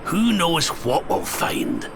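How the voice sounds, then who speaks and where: A man's voice: on the high side, wildly swooping pitch, slow pace, creaky, background noise, heavily acted. A man playing a wry, practical adventurer in a video game, musing. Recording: game music behind the voice.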